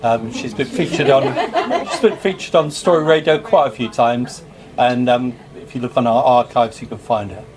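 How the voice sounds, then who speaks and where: A man's voice: medium pitch, moderate pace, crowd noise. A man hosting a reading event, introducing the next writer in an easygoing way with fillers. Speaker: host introducing readers. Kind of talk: casual live introduction.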